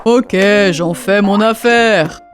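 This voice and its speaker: A woman's voice: mid-range voice, takes it slowly, lightly acted. A woman voicing a pleading, dramatic animal character in a rhyming children's cartoon, with music behind. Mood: reluctant.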